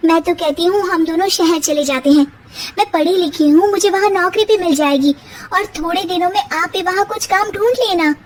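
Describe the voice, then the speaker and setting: A woman's voice: very high-pitched, moderate pace, slight background noise, pitched above the performer's own voice. A woman voicing a clever, educated young daughter-in-law in a cartoon story, speaking firmly and outspokenly. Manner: firm and outspoken.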